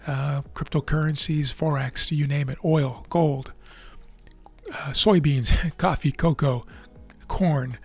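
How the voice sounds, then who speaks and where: A man with a raspy, tired voice, hosting a trading video and explaining live charts in a measured way with frequent fillers and restarts. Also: on the high side, steady tone, darkish tone, faint music behind. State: losing his voice.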